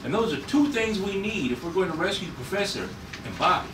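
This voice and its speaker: A man's voice: sits high, sing-song, fast. A man playing an easygoing, teasing rocket crewman in a read-through of a retro space adventure script. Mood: persuasive, friendly. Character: easygoing, teasing male rocket crewman defending a young woman. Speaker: actor at a script table read. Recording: echoey room, distant mic, noisy.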